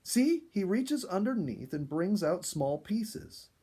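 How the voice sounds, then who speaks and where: A man's voice: high-pitched, very animated, takes it slowly, warm tone, husky, voice pushed up, full character voice. A man voicing an amused, observant woman in a storybook, exclaiming over what she sees. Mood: surprised.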